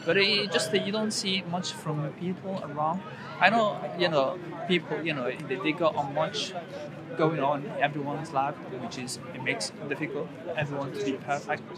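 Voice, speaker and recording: A man from Syria with a Syrian accent, speaking halting English full of fillers and stammers in a street conversation. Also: fairly high pitch, fairly even intonation. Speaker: passer-by being questioned. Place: busy city street outdoors. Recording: loud crowd noise outdoors. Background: loud crowd.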